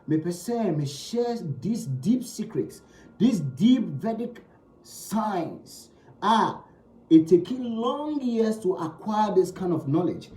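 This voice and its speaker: A man's voice: high voice, dark tone, roomy sound, low-quality recording. A man giving a spiritual teaching talk. He speaks emphatically, repeating key phrases and asking rhetorical questions.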